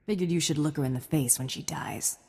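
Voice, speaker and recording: Cool alien mercenary for a game: low, husky, deadpan, confident and terse. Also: female voice, a little fast, long reverb tail, heavily acted. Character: cool, tough female alien bounty hunter.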